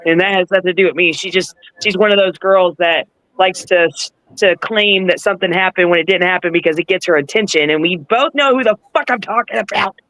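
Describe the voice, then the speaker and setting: Blunt, bright-voiced woman in her forties. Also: lowish voice, a little fast, dry recording, poor audio. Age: 40s. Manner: blunt, foul-mouthed. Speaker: podcast guest, wrestler and trainer. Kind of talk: casual chat.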